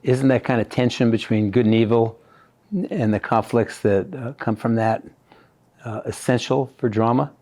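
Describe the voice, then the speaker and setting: A man's voice: mid-range voice, steady tone, normal speed, a little mellow. A man acting as interviewer, putting questions to a guest in a conversational way.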